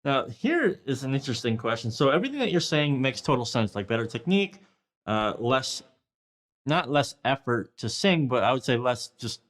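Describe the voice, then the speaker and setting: Brief: male host, late 30s, relaxed and loose, swears casually while asking questions. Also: mid-range voice, moderate pace. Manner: casual, swears, thinks out loud. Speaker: podcast host.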